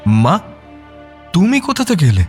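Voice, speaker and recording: A man's voice: fairly high pitch, huge pitch swings, a little slow, a little mellow, soft background music. A man playing an earnest, bewildered young husband and dutiful son in a radio drama. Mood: earnest, pleading. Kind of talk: broadcast radio drama acting.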